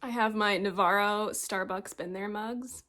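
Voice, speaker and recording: A woman with a slight cold talks through her monthly reading in a loose, rambling chat, laughing softly now and then. Her pitch sits in an ordinary middle range, and her low energy shows. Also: steady tone, a little slow. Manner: chatty, rambling, often laughs. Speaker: book vlogger. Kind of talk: casual book review chat. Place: home, at a desk with mugs.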